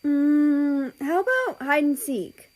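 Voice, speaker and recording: A woman's voice: high voice, expressive, slow, voice pushed up. A woman voicing a friendly, casual male cat brother who loves games, in a story. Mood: playful.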